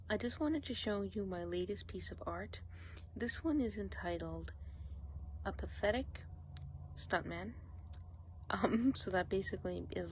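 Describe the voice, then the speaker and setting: A woman hosting an ASMR channel who chats casually and softly about her own artwork, slipping into whispers. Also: lowish voice, a little hiss or hum, low-quality recording. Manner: soft-spoken, rambling with fillers, slipping into whispers. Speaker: ASMR channel host and artist. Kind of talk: casual chat.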